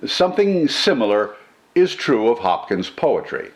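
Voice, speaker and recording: An older man giving scripted explanatory narration about literature as a host, in a measured, articulate, teacherly way. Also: medium pitch, sing-song. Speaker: poetry reader and commentator, host.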